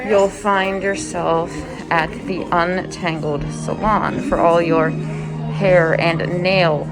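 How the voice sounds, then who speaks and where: A woman's voice: on the low side, poor audio. A woman walking through a cruise ship, filming and chatting as she points out each spot. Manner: chatty guide pointing things out. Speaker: vlogger giving a ship tour. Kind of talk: walking tour narration.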